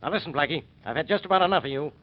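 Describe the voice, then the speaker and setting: An exasperated police inspector of 1940s radio. He blusters and barks in a high voice, short on patience, through a dulled vintage recording. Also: expressive, very fast, highs cut off. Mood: exasperated, scornful. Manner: barking, exasperated, gruff in wording. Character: irascible, blustering police inspector, adult man. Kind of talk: 1940s radio detective drama acting.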